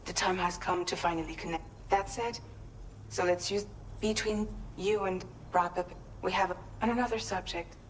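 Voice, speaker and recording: A woman's voice: lowish voice, fairly even intonation, normal speed, lightly acted. Caring woman leader in roomy sound, acted. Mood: caring, purposeful. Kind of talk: scripted video game cutscene acting. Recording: roomy with faint noise.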